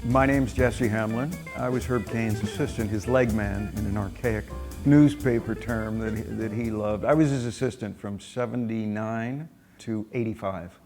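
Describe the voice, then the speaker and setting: A man's voice: on the low side, normal speed, music in the background. A man in his sixties recalling his working past casually in an interview. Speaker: former newspaper assistant, interviewee. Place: indoor room with staircase, seated.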